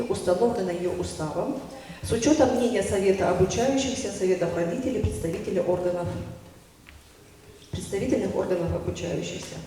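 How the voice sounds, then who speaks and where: A speaker delivers a formal report packed with figures, measured and official, stumbling now and then and correcting themself. The voice is dark and mellow at a mid pitch. It sounds far from the mic in a big, echoey meeting hall with a long reverb and a faint hiss behind. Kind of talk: formal report read aloud, dense with statistics. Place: large meeting hall at an academy.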